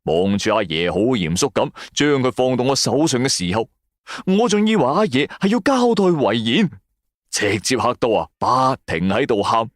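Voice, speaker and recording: A man's voice: mid-range voice, expressive, moderate pace, no room sound, clean studio sound. A man with a Hong Kong Cantonese accent narrating a first-person story for a radio drama in a colloquial storytelling manner. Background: music.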